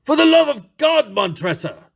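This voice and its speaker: A man's voice: very high voice, sing-song, normal speed, gravelly, pitched above the performer's own voice, exaggerated voice. A man voicing a doomed, chained nobleman in a gothic story, pleading with nervous, forced laughter. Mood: desperate. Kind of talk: dramatic gothic story reading.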